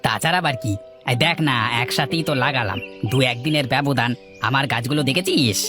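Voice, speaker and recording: It is a man playing a brash village farmer in a cartoon tale. He talks in an offhand, teasing way at a middle pitch, with faint music underneath. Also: expressive, brisk, natural voice. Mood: confident. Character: brash, teasing village banana farmer, older brother type. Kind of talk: cartoon folk-tale dialogue.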